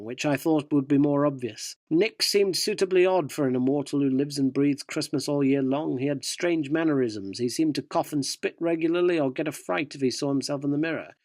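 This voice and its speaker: A man's voice: on the high side, darkish tone, no echo, studio quality. A man playing a cheeky, cynical yuppie journalist who narrates a radio drama in a wry, provocative way.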